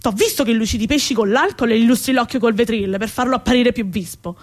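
A woman's voice: on the high side, quick pace, natural voice. A woman performing a comic theatrical monologue as an indignant, sarcastic, foul-mouthed female rat who sees herself as a proper lady. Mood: furious, scolding. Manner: ranting, sarcastic, emphatic.

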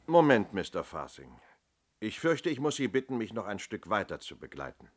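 An actor playing a firm, coolly courteous police inspector who questions formally and pointedly in a German radio play. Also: male voice, on the low side, big pitch swings, normal speed.

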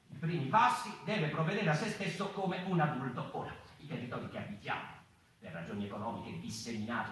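An actor playing a weary, agitated member of a mouse people in a theatrical monologue, declaiming haltingly and repeating words and phrases. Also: male voice, fairly high pitch, sharp tone. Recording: very echoey hall, distant, faint noise.

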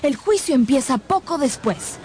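A woman's voice: high-pitched, lively intonation, normal speed, darkish tone, slight background noise. A woman delivering scripted, dramatic narration for a radio play.

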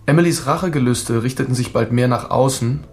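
A man's voice: mid-range voice, a little fast, a little mellow, faint music behind, lightly acted. An actor playing a flirtatious, smug male psychotherapist in a German radio drama, teasing and self-assured. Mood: defensive, informative.

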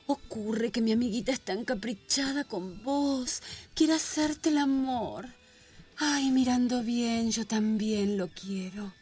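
An actress playing a coaxing, icily sweet and menacing seductive woman in a radio drama, with a husky, breathy voice. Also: on the high side, a little mellow.

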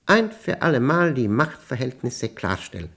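A man acting an obsessive, unravelling professor who dictates his diary in a German radio play, with emphatic, deliberate diction and short clipped phrases. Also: mid-range voice, very animated, gravelly, a bit bright, husky, heavily acted. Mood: commanding, obsessive. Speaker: radio drama actor.

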